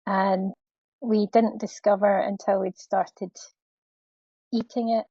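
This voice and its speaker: Wry woman with a flat, mellow voice. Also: medium pitch, slow pace, dry recording, dull audio. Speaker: co-presenter. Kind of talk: anecdote in a webinar.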